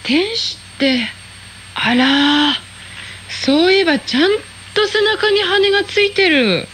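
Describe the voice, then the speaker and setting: An actress playing a cheeky, quick-witted high-school girl in a radio drama. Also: a little hiss or hum, full character voice. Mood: curious. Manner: chatty, lively, sassy.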